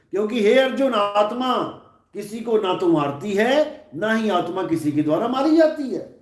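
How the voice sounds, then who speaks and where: Man preaching at a slow, measured pace, posing questions and answering them, echoey hall sound. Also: sits high, darkish tone. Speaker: spiritual teacher. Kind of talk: live satsang discourse. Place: large hall.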